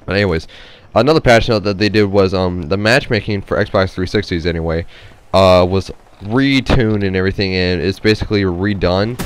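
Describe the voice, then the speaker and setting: A man's voice: low-pitched, normal speed, smooth. A man giving casual, rambling, unscripted game commentary, hesitant and nasal from a stuffy head cold. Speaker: gameplay commentator.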